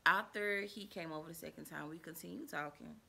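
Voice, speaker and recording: A woman's voice: on the low side, brisk, creaky, sharp tone, slightly rough. A woman, telling a personal story to her viewers in a rambling, casual way, full of fillers and asides, acting out what people said. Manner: acts out quoted dialogue in a raised voice. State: stuffy and under the weather.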